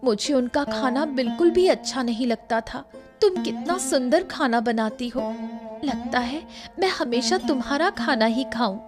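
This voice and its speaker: A woman's voice: sits high, expressive, moderate pace, over music. A woman voicing a sweet, eager young queen, affectionate and earnest, in a cartoon story. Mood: admiring. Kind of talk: Hindi cartoon moral story dialogue.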